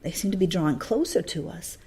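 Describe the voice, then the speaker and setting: A woman narrating a novel as a read-along, in an expressive storytelling style. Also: on the low side, fairly quick, slightly rough.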